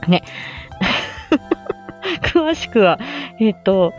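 Giggly woman with lively, swooping pitch. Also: extremely slow, faint music behind. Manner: giggly, self-deprecating, laughs often at herself. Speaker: host of a casual audio stream. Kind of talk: casual rambling chat with listeners.

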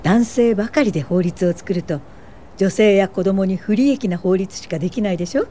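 An actress playing an earnest, polite young woman activist in a Japanese radio drama, speaking gently. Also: mid-range voice, moderate pace, a little hiss or hum, close to an everyday voice. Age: young adult.

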